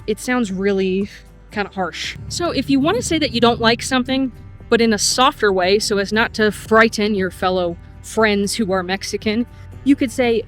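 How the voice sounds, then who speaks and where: Woman, middle-aged, friendly language teacher, outdoor walk-and-talk, soft music under. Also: a little high. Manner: friendly explainer, slows to model phrases. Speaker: Spanish teacher. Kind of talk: casual vlog lesson on a walk. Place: outdoors, walking in a city.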